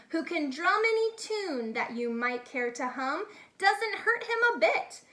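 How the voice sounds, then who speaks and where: Woman voicing an eager boy ringmaster, high and bright. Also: heavily acted. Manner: grandiose showman's barking, singsong rhymes. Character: young boy dreamer, boastful eager circus ringmaster. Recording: echoey, reverberant room sound.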